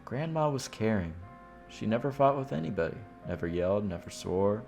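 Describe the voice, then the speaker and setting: A man's voice: lowish voice, expressive, moderate pace, gravelly, darkish tone, faint music behind. A man streaming a visual novel, reading the narration aloud with informal, casual asides.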